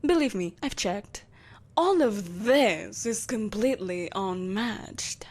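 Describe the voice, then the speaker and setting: A woman's voice: medium pitch, expressive, takes it slowly, darkish tone. A woman playing an imperious, seductive evil empress in a scripted roleplay audio drama, speaking in a haughty, theatrical, coaxing manner. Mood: smug, teasing. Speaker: voice actor.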